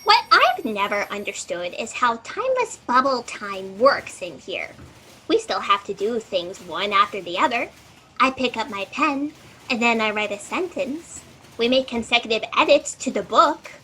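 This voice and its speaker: High, bright actress as scatterbrained fact-checker. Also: female voice, very animated, normal speed, slight background noise, low-quality recording. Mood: oblivious, musing. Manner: rambling, oblivious, chirpy. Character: scatterbrained, eager, chatty female fact-checker in a cosmic office. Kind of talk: comedic audio drama acting.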